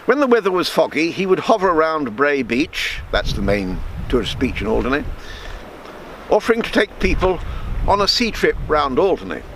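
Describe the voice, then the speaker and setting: A man reading his own written story aloud in a measured storytelling manner, with small stumbles and self-corrections. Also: fairly high pitch, very animated, a bit bright, a little hiss or hum, poor audio.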